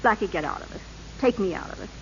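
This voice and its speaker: Brief: weary, sardonic girlfriend of a racketeer, medium pitch, muffled vintage radio drama. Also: expressive, quick pace, fairly bright tone. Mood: bitter, sardonic. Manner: pleading, sardonic. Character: gambler's worried, reproachful sweetheart, weary of crime. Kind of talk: 1930s-40s radio drama acting. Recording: muffled old radio, faint hiss.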